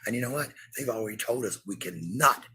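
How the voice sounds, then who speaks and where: Give this woman a deep voice with a hard, bright edge. She rants combatively at her critics as a parent, cursing often and hammering the same points again and again. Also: animated, fast talker. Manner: combative, profane, repetitive. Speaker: parent answering online critics. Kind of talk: angry confrontational rant.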